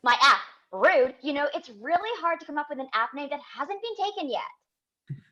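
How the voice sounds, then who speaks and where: A woman's voice: high-pitched, animated, normal speed. A woman acting a brash, pushy young tech entrepreneur with a bossy, gushing manner in a comedy table read, on a lo-fi microphone. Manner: loud-mouthed, bossy, gushing. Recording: dull, lo-fi remote microphone.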